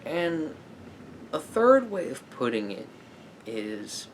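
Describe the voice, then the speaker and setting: A man speaking softly as a therapist in an ASMR roleplay, in a measured, halting, explanatory way. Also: fairly high pitch, very animated, slight background noise.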